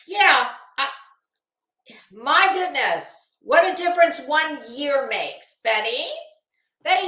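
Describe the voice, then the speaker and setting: Bright, high-voiced sarcastic woman livestreamer. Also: reverberant, low-quality recording. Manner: sarcastic, gossipy, rambling. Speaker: livestream host. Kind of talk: casual livestream commentary chat.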